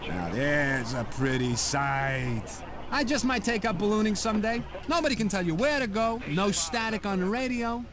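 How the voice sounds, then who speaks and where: A man's voice: high-pitched, normal speed, natural voice. A man playing an easygoing, seasoned air traffic controller, chatting in a folksy, dryly joking way as a tour guide in a computer game. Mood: chatty, wry. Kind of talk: scripted casual tour narration with radio calls.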